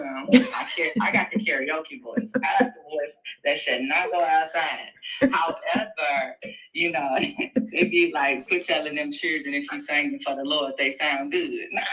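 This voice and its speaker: A woman's voice: low voice, sharp tone, slight background noise. A woman co-hosting an online stream, playful and joking in casual chat. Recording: roomy sound, away from the mic.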